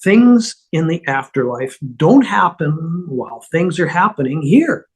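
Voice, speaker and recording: A woman reading the part of a flustered, apologetic male otherworldly schemer, husky and halting as she stammers through explanations. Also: low voice, lively intonation, a little mellow, exaggerated voice. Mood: flustered explaining. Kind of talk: amateur script table read.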